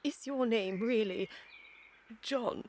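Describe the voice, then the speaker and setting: A woman's voice: on the high side, takes it slowly, darkish tone. A woman playing a haughty, sophisticated young Victorian society lady in a radio comedy, with formal, theatrical diction. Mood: haughty, startled. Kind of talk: scripted radio comedy acting.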